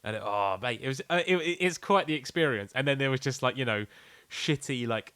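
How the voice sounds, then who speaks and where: A man's voice: medium pitch, a little fast, a bit bright, smooth, no echo. A British man co-hosting a podcast, chatting casually and telling anecdotes in a sweary, blokey way. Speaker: podcast co-host. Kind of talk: casual comic banter.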